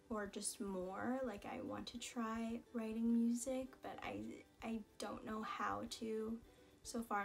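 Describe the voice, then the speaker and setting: A young woman from Canada, a singer answering viewer questions in a casual, chatty vlog with relaxed fillers and asides. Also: a little high. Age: young adult. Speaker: singer and vlogger. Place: small indoor room against a plain wall. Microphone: camera in front at head-and-shoulders framing.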